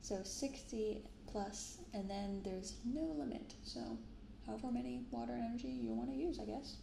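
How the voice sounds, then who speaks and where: A young woman speaking softly in an ASMR style, unhurried as she comments and reads aloud. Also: a little high, creaky, roomy sound, background noise. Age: young adult. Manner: unhurried, hushed commentary and reading from cards. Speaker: host of a card-opening channel. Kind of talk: soft-spoken ASMR. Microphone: binaural microphone.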